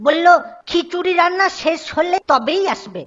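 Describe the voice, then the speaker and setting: A woman voicing an excitable, obedient male royal errand-runner in a cartoon, hurried and full of flustered exclamations. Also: very high-pitched, muffled recording. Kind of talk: cartoon voice acting.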